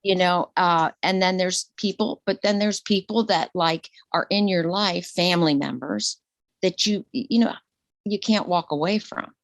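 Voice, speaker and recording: A woman in her sixties, a retired nurse, talking openly in an interview, animated and laughing, her voice leaping high when excited. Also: measured pace, no room sound. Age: 60s. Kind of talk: casual interview conversation.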